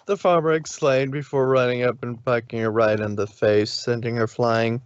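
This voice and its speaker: A man's voice: mid-range voice, fairly even intonation, normal speed, clear, resonant tone, no room sound, lo-fi sound. A man narrating a fan fiction story on a live stream, adding casual asides. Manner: plain reading with asides. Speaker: reader, narrator.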